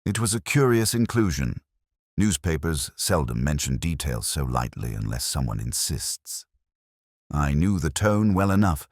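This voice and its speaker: Brooding older detective , played by a man: very deep voice, wide melodic range, reflective first-person drama narration, close dry sound. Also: no room sound, full character voice. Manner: measured, literary, reflective.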